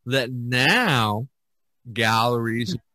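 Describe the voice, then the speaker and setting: A man's voice: medium pitch, expressive, drawn-out words, bright, forceful tone, dry recording. An older man in a casual podcast discussion, rambling and opinionated as he talks. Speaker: podcast host.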